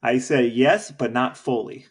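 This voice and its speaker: A man's voice: mid-range voice, measured pace. A man co-hosting a casual podcast, quizzing his brother and explaining history. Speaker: podcast co-host. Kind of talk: casual chat.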